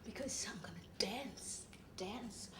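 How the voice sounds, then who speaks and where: A woman's voice: sits high, sing-song, fairly bright tone, a little hiss or hum, exaggerated voice. A woman playing a volatile, heartbroken young woman in a melodramatic acting monologue. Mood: tipsy, defiant. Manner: melodramatic, tearful outbursts and drawn-out pleading. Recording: echoey room.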